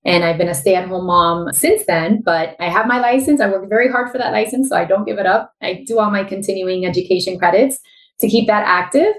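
A woman's voice: mid-range voice, fairly quick, roomy sound. A woman in her thirties with a Miami accent, a guest telling her story openly in a casual interview. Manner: open, candid storytelling.

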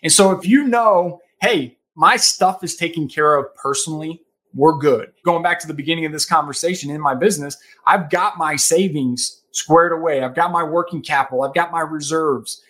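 A man in his thirties with a slightly higher-pitched voice, speaking as a guest on a podcast. He gives practical money advice in an earnest, emphatic way and often checks in with "right?". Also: moderate pace, a bit bright. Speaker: podcast guest, financial advisor. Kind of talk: casual podcast interview.

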